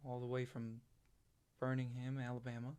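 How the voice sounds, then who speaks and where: A man's voice: medium pitch, monotone, moderate pace, a little mellow, lightly acted. An actor playing a troubled, literal-minded rural young man in a stage play reading, stammering and repeating his words. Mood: subdued.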